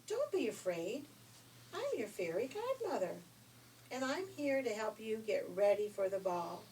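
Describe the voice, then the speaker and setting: Older lady as kindly fairy godmother in a storybook, pitched up a bit, slightly far from mic. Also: big pitch swings, unhurried, darkish tone. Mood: reassuring. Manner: reassuring, instructing. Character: kindly, reassuring fairy godmother, a strange little woman. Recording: distant mic with faint noise.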